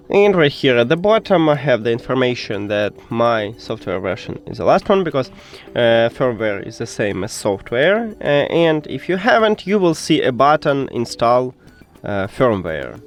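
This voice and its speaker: A man presenting an unscripted step-by-step tech tutorial in simplified non-native English, halting often with filler sounds. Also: mid-range voice. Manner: hesitant, halting delivery with frequent filler sounds. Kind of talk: unscripted step-by-step how-to narration.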